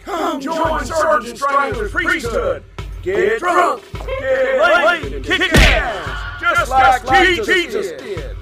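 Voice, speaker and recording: A man playing a bombastic, rowdy recruiter shouting drawn-out lines in a parody radio commercial. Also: extremely high pitch, sing-song, echoey room, loud music behind the voice, exaggerated voice.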